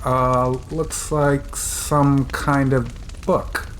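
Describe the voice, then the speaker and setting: An actor playing a brash, irreverent modern teenager who talks in slang. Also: male voice, medium pitch, little pitch movement, very slow, a bit bright, a little hiss or hum. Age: teens.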